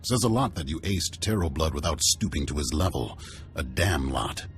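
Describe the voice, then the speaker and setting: A man's voice: a bass voice, lively intonation, normal speed. An actor playing a blunt, hearty male warlord with an authoritative manner in a video game. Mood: blunt, approving. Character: gruff, commanding male warlord who respects fighters, hearty and blunt.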